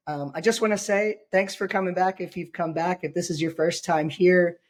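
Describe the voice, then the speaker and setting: A man hosting a podcast, hoarse and raspy from being sick, talking in a rambling, joking way with many fillers. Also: high voice, steady tone, normal speed. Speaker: podcast host. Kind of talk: casual podcast chat.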